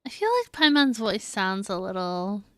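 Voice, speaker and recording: A woman's voice: mid-range voice, animated, moderate pace, fairly bright tone, dry recording. Chatty woman streamer, dry close sound. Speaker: game streamer. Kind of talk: casual live game commentary. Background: game music.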